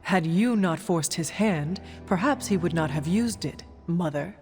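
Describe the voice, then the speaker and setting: Resolute warrior heroine played by an actress: lowish, formal, guarded, with clear music behind. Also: female voice, unhurried, lightly acted. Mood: reproachful. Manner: formal, without contractions. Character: stern, guarded warrior woman, a resolute hero. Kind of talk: restrained video game acting. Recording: voice under clear background music.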